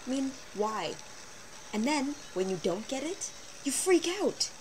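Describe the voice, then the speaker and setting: A teenage girl vlogging from her bedroom, talking casually and animatedly with sarcastic asides in a fairly low voice for a girl. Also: sing-song, a little slow. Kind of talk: casual webcam vlog. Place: small bedroom, indoors. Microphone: built-in webcam mic. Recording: noisy low-quality webcam recording.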